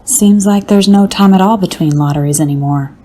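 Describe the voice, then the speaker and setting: A man's voice: sits high, brisk, mellow, pitched above the performer's own voice. A man voicing a chatty, folksy village housewife in a dramatic story reading.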